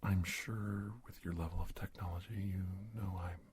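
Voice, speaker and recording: A man playing a cautious, polite government investigator in a soft-spoken ASMR roleplay, speaking haltingly and often dropping to a whisper. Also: sits low, steady tone, background noise, natural voice. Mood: calm, courteous. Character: cautious, polite government investigator doctor confronting a disguised creature. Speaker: ASMR performer.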